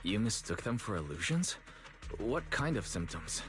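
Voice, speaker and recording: A calm, helpful young man in a game story. His voice is a little low, with a considerate and modest manner. Music plays clearly behind him. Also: normal speed. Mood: thoughtful. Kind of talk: video game story voice acting.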